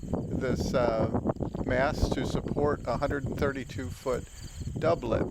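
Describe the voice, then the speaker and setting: Gravelly older American man, noisy recording. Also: medium pitch. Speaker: amateur radio hobbyist narrating his outing. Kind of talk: casual vlog narration.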